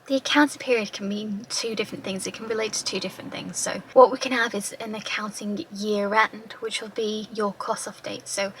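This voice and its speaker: A woman tutor with a slightly croaky voice, patiently explaining accounting terms with everyday examples. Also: medium pitch, steady tone, brisk, hardly any pauses, a bit bright, a little hiss or hum, rough recording. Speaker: finance tutor.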